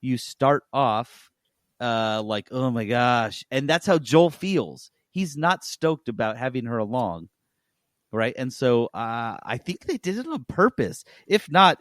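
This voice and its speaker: A man's voice: mid-range voice, no echo. Man in casual chat, dry close sound. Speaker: podcast co-host and game reviewer.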